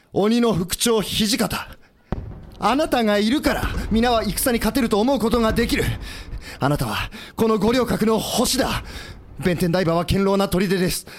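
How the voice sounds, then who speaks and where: An earnest, high-voiced rebel leader. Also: male voice. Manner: polite, earnest. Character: Enomoto Takeaki, earnest idealistic leader of a rebel army. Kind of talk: Japanese historical audio drama acting.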